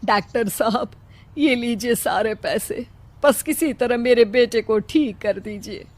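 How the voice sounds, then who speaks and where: A woman's voice: on the high side, measured pace, a little mellow. A woman voicing an anxious, caring older widowed mother-in-law in a village cartoon story. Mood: pleading. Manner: worried, maternal.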